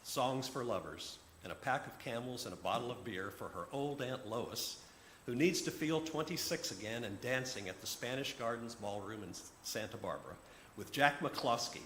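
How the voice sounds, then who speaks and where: A wise old man as a male storyteller plays him speaks serenely in formal, measured sentences. The voice sits at a medium pitch in a roomy, echoing space with faint noise. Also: fairly bright tone, lightly acted. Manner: formal, measured, sage-like phrasing. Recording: roomy echo, background noise.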